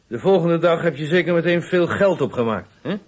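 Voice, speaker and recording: A man's voice: a little high, animated, fairly quick, a little mellow. A man playing a probing interrogator in a radio drama, putting pointed, knowing questions. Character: probing adult male interrogator, knowing and persistent.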